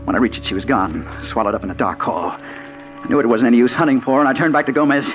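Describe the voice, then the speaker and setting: An actor with an American accent playing an intense, haunted crime reporter in a 1940s radio drama, urgent and brooding. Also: male voice, medium pitch, expressive, fast, music in the background, lightly acted. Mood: brooding, tense. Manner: urgent, swinging from brooding narration to heated outbursts. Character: intense, hard-boiled male crime reporter, haunted and impatient. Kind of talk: 1940s radio drama acting, first-person narration. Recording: muffled, noisy old 1940s radio recording.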